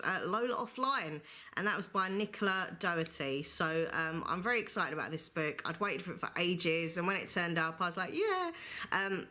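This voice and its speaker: A woman with a low-set but bright, forward voice, talking through her reading in a casual, rambling vlog. She leans on fillers like "you know" and still sounds a bit chesty from a recent flu. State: recovering from flu, slight cough. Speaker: book vlogger. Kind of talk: casual book review monologue.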